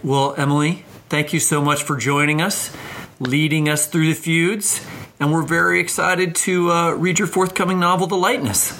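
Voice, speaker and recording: Bright, higher-voiced man explaining casually. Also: steady tone, moderate pace, a little hiss or hum. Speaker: podcast host, writing teacher. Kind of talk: casual discussion with anecdotal explanation.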